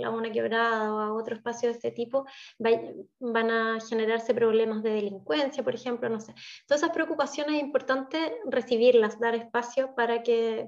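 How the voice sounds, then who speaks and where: A woman giving a talk on wetlands, thoughtful, with many restarts and fillers, in distant, dull lo-fi audio. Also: a little high. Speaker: invited speaker on wetlands. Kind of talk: presentation in an online talk.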